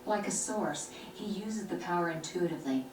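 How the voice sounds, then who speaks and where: An actress playing a composed, measured female sorceress in a game cutscene. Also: fairly low pitch, lightly acted. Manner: measured, matter-of-fact. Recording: echoey distant game audio with noise.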